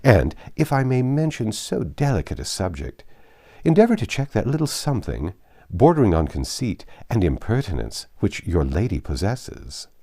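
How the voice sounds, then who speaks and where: A man's voice: on the low side, big pitch swings, moderate pace, dark tone. A man voicing a jealous, mocking, snobbish young society lady in a storybook reading, with an affected, needling manner. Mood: slyly mocking.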